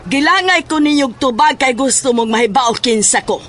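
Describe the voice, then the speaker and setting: A woman playing a stern, probing questioner in a horror audio drama, speaking deliberately and insistently. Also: high-pitched, animated, a bit bright. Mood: menacing, insistent. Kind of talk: Filipino audio drama acting.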